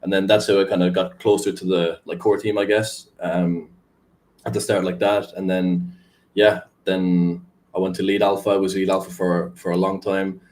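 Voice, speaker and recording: Laid-back young man, deep flat voice. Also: normal speed. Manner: rambling, filler-heavy, laid-back. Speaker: guest, crypto community manager. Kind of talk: casual podcast chat.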